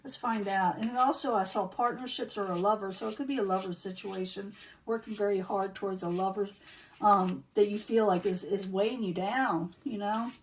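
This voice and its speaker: A woman reading tarot cards in a casual, chatty way, losing her voice and clearing her throat now and then. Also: mid-range voice, steady tone, moderate pace, far from the mic, slight background noise, rough recording. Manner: chatty, repeats herself, many um fillers. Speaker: tarot reader.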